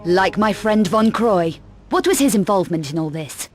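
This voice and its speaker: Actress as cool female adventurer, dry, probing, expressive pitch, video game cut-scene, loud music underneath. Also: moderate pace, lightly acted. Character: tough, cool, sardonic young female adventurer.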